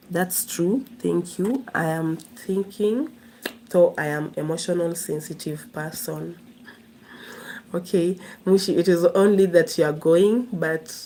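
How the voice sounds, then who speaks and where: A woman's voice: lowish voice, warm tone, a little hiss or hum. A woman from Kenya, hosting a casual live stream, chatting and laughing as she answers viewers' comments.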